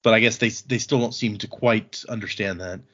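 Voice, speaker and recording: A man's voice: fairly low pitch, steady tone, moderate pace, a bit bright, highs cut off. A man hosting a podcast, recapping a book in a casual, informal way. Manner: informal, acts out quoted dialogue briefly. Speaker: podcast host.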